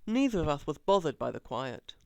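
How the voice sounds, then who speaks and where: Sarcastic, very animated male audiobook reader. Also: fairly high pitch, brisk, creaky, clear, resonant tone, no room sound, clean studio sound. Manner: mocking, exaggerated emphasis.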